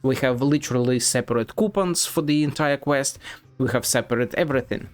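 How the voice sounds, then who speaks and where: A man streaming a game and giving casual, critical commentary, blunt and sweary, in halting, repetitive bursts. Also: mid-range voice. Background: game music.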